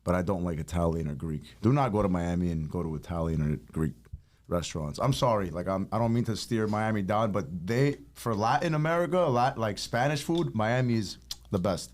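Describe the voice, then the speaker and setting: Young male podcast host from New York, slightly low voice, loose and profane. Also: a little fast. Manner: laid-back, swears freely, rambling. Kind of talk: casual podcast chat.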